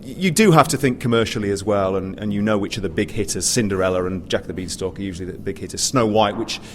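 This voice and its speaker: A man's voice: on the low side, fast. British man chatting on a panel, faint noise. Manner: conversational, stop-start with repeated words. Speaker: panelist, pantomime writer and director. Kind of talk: informal panel discussion. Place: live panel in a theatre. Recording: faint background noise, live event.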